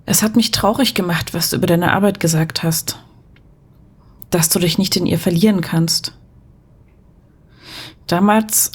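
A woman acting a tender, thoughtful character in an intimate audio spy drama, speaking softly and gently probing. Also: mid-range voice, husky. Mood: concerned.

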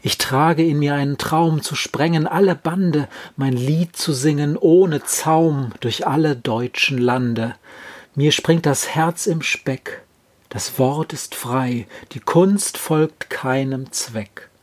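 Slow, solemn man reciting poetry. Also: a little high. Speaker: poem reader.

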